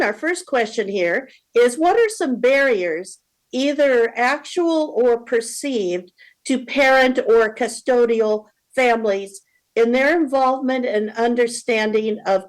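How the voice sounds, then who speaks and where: A woman moderating a candidate forum, reading prepared questions in a formal, deliberate way. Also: a little high, poor audio.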